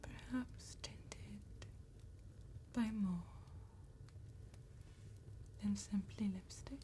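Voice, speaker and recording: A woman playing an attentive, courteous vampire tattoo artist in a slow, breathy ASMR whisper with deliberate pauses. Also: medium pitch. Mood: calm, attentive. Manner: slow, deliberate, gentle phrasing with long pauses.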